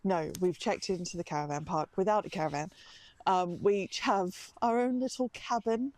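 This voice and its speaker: A woman's voice: mid-range voice, normal speed, darkish tone, rough recording. A woman with an Australian accent chatting casually in a dry, understated way, as a podcast host out walking. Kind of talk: casual unscripted-sounding chat on a walk. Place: outdoors, walking along a small-town street.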